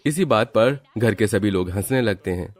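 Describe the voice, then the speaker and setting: A man's voice: fairly low pitch, normal speed. A man narrating a scripted Hindi family story as its storyteller.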